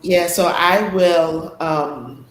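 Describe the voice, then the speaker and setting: A man's voice: a little high, steady tone, very slow, fairly bright tone. A man chatting casually as a podcast co-host. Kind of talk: casual conversation. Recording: echoey room with faint background noise.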